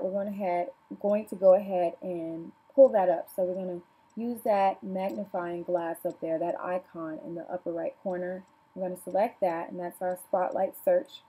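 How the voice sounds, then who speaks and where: A woman presenting a software tutorial, calmly walking viewers through each click step by step. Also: medium pitch, husky, low-quality recording. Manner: plain instructional, walks through clicks calmly. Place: home desk, filming a computer screen.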